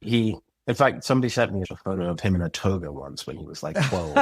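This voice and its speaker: A middle-aged man speaking as a podcast guest, talking reflectively with pauses and restarted phrases. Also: sits low, animated, vocal fry, slightly rough. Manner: thoughtful, pausing, restarts phrases. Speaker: podcast guest, writer and director. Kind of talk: reflective interview talk.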